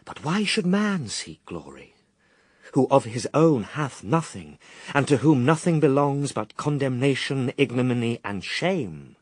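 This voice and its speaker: A man's voice: mid-range voice, very animated, dry recording, studio quality. An actor playing the Son of God as a grave, patient and steadfast man, declaiming solemn verse in a radio drama. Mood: stern, exalted. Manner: measured, declamatory, morally firm.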